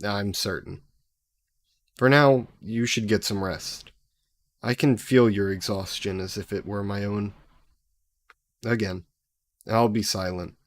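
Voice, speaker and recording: A man's voice: on the low side, steady tone, slow pace, pauses often, dry recording. A man playing a sassy, possessive, centuries-old demon in an audio roleplay, speaking haughtily and sardonically in formal wording. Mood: sly, possessive. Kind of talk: scripted audio roleplay addressed to the listener.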